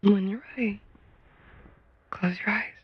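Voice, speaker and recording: A woman leading a guided meditation in a soft, breathy whisper, speaking gently and soothingly with unhurried pauses. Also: mid-range voice, fairly even intonation, muffled recording.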